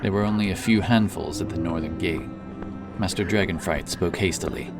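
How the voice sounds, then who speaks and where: A man narrating a scripted fantasy story in a dramatic, deliberate manner. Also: sits low. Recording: distant voice under loud background music.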